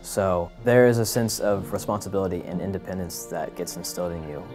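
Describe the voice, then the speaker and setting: A man's voice: deep voice, fairly quick, darkish tone. A young man, an animation student, giving an emphatic documentary interview testimonial with a run of points. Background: music.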